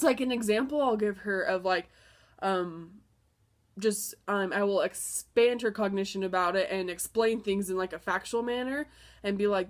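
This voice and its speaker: A young woman with a stuffed-up nose from a cold, casually talking through a lesson plan with many fillers and restarts. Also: medium pitch. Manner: chatty, filler-heavy, apologetic restarts. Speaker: student presenting a lesson plan. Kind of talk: casual spoken presentation.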